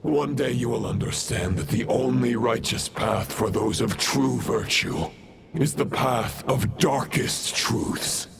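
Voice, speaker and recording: An actor playing a proud, grave villain knight who speaks in a formal, pompous way, with a gravelly voice. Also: male voice, medium pitch, slow pace, over loud music. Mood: commanding. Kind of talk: game cutscene voice acting.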